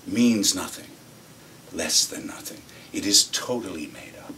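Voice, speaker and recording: A man acting as swaggering executive, sardonic storyteller, forceful bright voice, theatrical pauses, recorded from a distance with faint noise. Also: low voice, expressive, full character voice. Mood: smug, cutting. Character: cynical, swaggering American corporate executive telling a story. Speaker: actor performing a monologue. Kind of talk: theatrical stage monologue, conversational storytelling. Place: acting workshop room.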